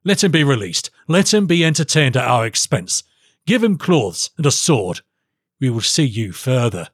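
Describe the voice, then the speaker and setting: A man voicing an imperious, quick-tempered king with a gruff, commanding and abrupt delivery, as in a storybook reading. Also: medium pitch, sing-song, no room sound, clean studio sound. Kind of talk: audiobook character voice.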